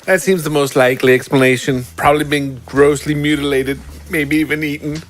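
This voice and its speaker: A man's voice: fairly high pitch, fairly even intonation, fairly quick, a little hiss or hum, lightly acted. A man voicing a grim, earnest, alarmist toy in an audio drama. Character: alarmist toy blaming monsters.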